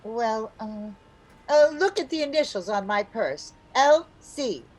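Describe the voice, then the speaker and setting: A woman playing an excitable, chatty, scheming housewife in an old-time radio comedy, with a theatrical manner. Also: on the high side, rough recording. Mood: pleading. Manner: theatrical, quick to jump to conclusions.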